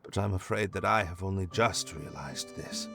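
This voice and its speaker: A man's voice: low voice, normal speed, creaky, loud music behind the voice. A man playing a penitent young noble in a video game cutscene, hesitant, formal and earnest. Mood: earnest, regretful. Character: penitent young male noble, disoriented and weary.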